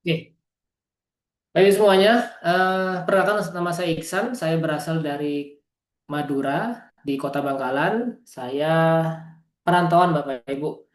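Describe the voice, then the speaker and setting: A man's voice: on the high side, takes it slowly, pauses often. Man, East Java origin, motivational business presenter on a video call; earnest, addresses listeners directly; echoey room sound. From East Java, Indonesia. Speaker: business presenter at an online meeting. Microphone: video call microphone.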